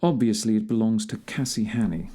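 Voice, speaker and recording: An actor playing a shrewd, commanding gentleman detective in an audio theatre drama, with a deliberate, authoritative manner and old-fashioned phrasing. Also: on the low side, close to an everyday voice. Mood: brisk, investigative.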